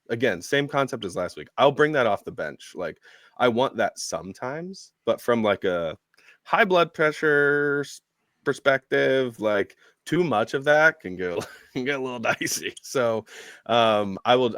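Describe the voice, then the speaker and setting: Guy on a sports podcast, lowish voice, laid back, thinking out loud, dry close sound. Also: dry recording. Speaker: podcast co-host. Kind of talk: casual sports podcast chat.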